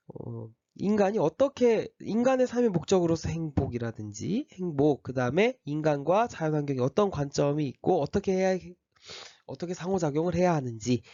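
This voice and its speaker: A male teacher with a slight cold talks a class through the course outline, stopping often for fillers and restarts. His pitch sits a little high and moves around a lot, and the tone is mellow. The recording is dry and a bit dull. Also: no echo. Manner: explains step by step, with many fillers and restarts. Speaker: high school history teacher. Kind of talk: recorded class orientation lecture.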